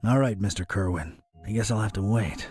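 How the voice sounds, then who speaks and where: A man playing a gruff, wry, old-fashioned private detective in an animated video game, probing with old-time gumshoe phrasing. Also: fairly low pitch, a little fast, faint music behind, close to an everyday voice. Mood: dry, probing.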